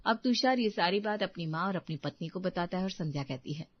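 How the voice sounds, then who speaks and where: A woman's voice: fairly low pitch, animated, fairly quick, no echo, muffled recording. A woman reading a scripted moral story as its narrator.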